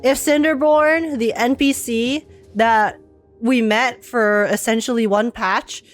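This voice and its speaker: A woman streamer giving casual, excitable commentary while playing a game, chatty and quick to swear and laugh. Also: a little high, dry recording, soft background music.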